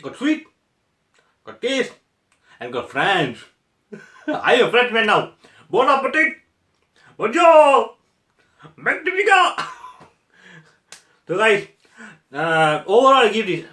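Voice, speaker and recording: A man's voice: sits high, huge pitch swings, slow pace, pauses often, pushed and bright. A young man from Malaysia, talking to viewers in a casual taste-test vlog. He sounds playful and exclamatory, and he laughs often. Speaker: food vlogger. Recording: echoey room.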